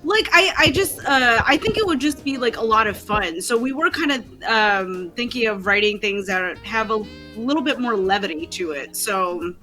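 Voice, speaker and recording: A woman's voice: fairly high pitch, lively intonation, normal speed, hardly any pauses, pushed and bright, soft background music. A young woman chatting casually and informally in an online group. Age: young adult. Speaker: participant in an online script-reading group. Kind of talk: casual chat.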